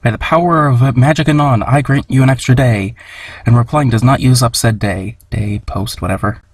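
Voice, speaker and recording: A man with a husky voice casually reading audience questions aloud for a comic dub. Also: medium pitch, steady tone, a little fast, no echo.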